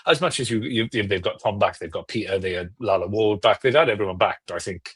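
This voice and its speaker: A man's voice: on the low side, fast talker, husky. A man on a podcast panel, chatting casually and enthusiastically, rephrasing as he goes.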